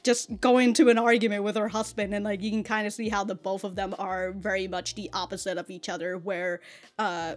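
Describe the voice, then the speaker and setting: A woman hosting a casual reaction video, talking in an exaggerated, rambling way with stammered repeats and frequent swearing. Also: medium pitch. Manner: self-described exaggerated on-camera delivery. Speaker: YouTube reaction host.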